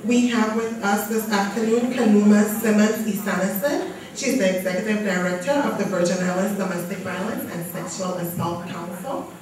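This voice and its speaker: A woman presenting her research and moderating a panel, explaining in a conversational way. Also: medium pitch. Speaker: graduate student presenter and moderator. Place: lecture hall. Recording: echoey hall from far off with audience.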